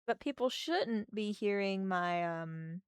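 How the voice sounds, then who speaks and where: A woman with a slightly hoarse voice from a sore throat, chatting casually and thinking aloud while she draws on a livestream. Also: medium pitch, animated, takes it slowly, no room sound, studio quality. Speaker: art streamer.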